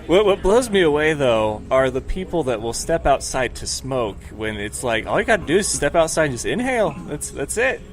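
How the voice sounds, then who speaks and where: A man's voice: fairly high pitch, big pitch swings, moderate pace, hardly any pauses, faint music behind. A man hosting an interview, casual and chatty, laughing easily and using lots of fillers. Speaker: podcast host, interviewer. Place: pizzeria comedy venue.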